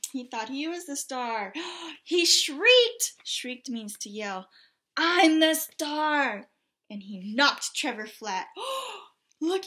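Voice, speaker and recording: A woman teacher reads a storybook aloud to children in a high, bright voice. She takes her time, exclaiming over the pictures and asking questions as she goes. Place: small indoor room with bulletin board.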